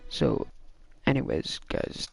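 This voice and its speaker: A young man telling a rambling story full of fillers into a gaming mic while he plays a shooter game. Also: slow. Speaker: gaming vlogger. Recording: game music loud in the background. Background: game music.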